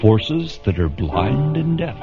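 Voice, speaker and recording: An actor playing a solemn, portentous science fiction radio narrator, grave and deliberate in scripted narration. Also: male voice, medium pitch, very animated. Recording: dull radio recording over music.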